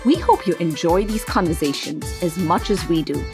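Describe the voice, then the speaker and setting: A woman hosting a podcast, bubbly and animated as she opens the show. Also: lowish voice, normal speed. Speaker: podcast host. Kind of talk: podcast intro and chat. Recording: music bed behind voice. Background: intro music.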